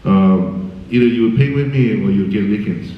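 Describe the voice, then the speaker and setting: Man, very deep voice, joking and easygoing, far from the mic in an echoey hall, dull sound. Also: moderate pace, slight background noise. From American Samoa, raised in Hawaii. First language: Samoan. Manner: joking, laid-back storytelling. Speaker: panel guest artist. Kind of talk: casual Q&A answers. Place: large hall at a film screening.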